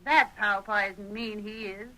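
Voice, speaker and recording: A woman's voice: medium pitch, fairly even intonation, measured pace, pushed and bright, poor audio. A woman playing a gossipy, opinionated frontier busybody with a rural Western American accent in an old radio drama. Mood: gossipy, indignant. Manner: folksy, emphatic, chattering. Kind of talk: 1940s radio acting.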